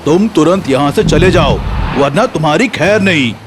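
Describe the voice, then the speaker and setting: A man voicing an earnest, determined inventor hero in an animated children's story, speaking with resolve. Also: on the high side, normal speed, noisy background, close to an everyday voice. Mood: resolute.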